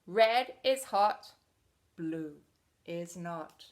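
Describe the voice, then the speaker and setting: A woman's voice: on the low side, animated, drawn-out words. A woman voicing a mean, hotheaded male bully, the color Red, in a children's storybook, with a commanding, taunting manner.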